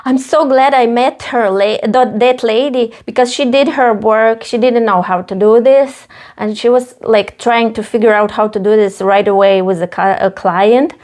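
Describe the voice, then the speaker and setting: A woman in her thirties from Ukraine, speaking English with a Ukrainian accent, telling her story with many pauses and fillers as a guest. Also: a little high, steady tone, a little mellow. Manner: halting non-native English with many fillers. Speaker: face painter, guest. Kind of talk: casual interview.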